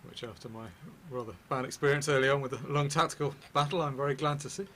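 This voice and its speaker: A man talking fast as a co-commentator on a pool stream, with a teasing manner. His voice sits in the middle of the range. Faint background noise runs under him. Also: medium pitch, fairly even intonation, sharp tone. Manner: quick, teasing, chuckles often. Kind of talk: live sports commentary.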